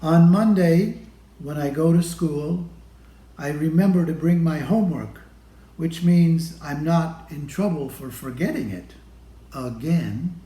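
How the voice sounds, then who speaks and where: A man reads a children's storybook aloud in a mellow, slightly high voice. He takes his time, leaving deliberate pauses between phrases. The sound is roomy and echoey. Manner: deliberate, pausing between phrases to show pictures. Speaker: storybook reader. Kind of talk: read-aloud of a children's picture book.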